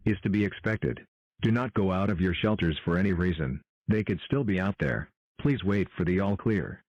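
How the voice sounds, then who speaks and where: Man, emergency alert announcer, low voice, formal flat synthetic delivery, dry lo-fi sound. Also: no echo. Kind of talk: scripted emergency broadcast announcement. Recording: dull lo-fi audio.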